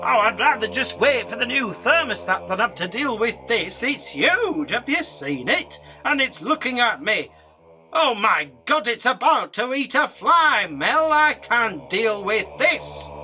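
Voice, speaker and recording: Panicky, very high-pitched squeamish bloke. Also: male voice, very animated, measured pace, pushed and bright, faint music behind, exaggerated voice, poor audio. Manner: whining, exaggerated dread. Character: squeamish, panicky man terrified of spiders. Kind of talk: illustrated radio drama acting.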